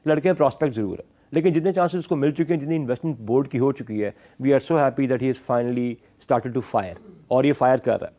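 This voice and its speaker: A man's voice: mid-range voice, warm tone. A man with a Pakistani accent giving sports talk show analysis in an emphatic, explanatory way, often switching between two languages. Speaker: cricket analyst.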